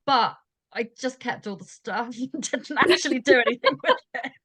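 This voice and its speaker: A woman's voice: high-pitched, lively intonation, normal speed, fairly bright tone, slightly rough, no room sound. A chatty woman hosts a podcast interview, her voice bouncing up and down as she talks. She laughs easily and keeps things casual. The sound is close and dry, with no room around it. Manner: bubbly, chatty, laughs often. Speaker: podcast host.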